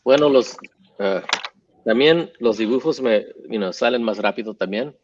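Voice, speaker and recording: Slow low-voiced guy on a panel, keeps dropping english fillers, dull dry sound. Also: darkish tone, a little hiss or hum. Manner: halting, mixes English fillers into Spanish. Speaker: illustrator panelist. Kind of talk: casual panel chat.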